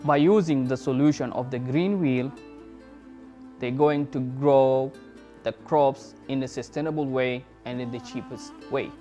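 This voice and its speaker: A man's voice: medium pitch, a little slow, mellow, soft background music. A man, a startup founder, telling his story in an interview in an earnest way and searching for words.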